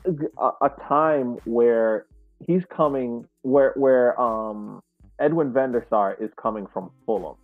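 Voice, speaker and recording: A man co-hosting a casual sports podcast, speaking in a halting, measured way and repeating words, over thin call audio. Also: mid-range voice, no echo, narrow, tinny audio, soft background music. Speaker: podcast co-host.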